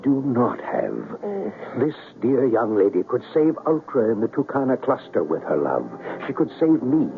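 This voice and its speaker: A man's voice: on the low side, gravelly, heavily acted. A man playing a brooding, pleading alien traveller in a theatrical 1970s radio drama. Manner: theatrical, slow, deliberate delivery. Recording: tinny 1970s radio broadcast, music underneath.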